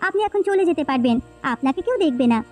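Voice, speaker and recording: A woman plays a kindly, concerned village housewife in a cartoon folktale. Her voice is extremely high and quick, with earnest, expressive delivery. Light music runs underneath. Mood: earnest, hopeful. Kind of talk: Bengali cartoon folktale voice acting.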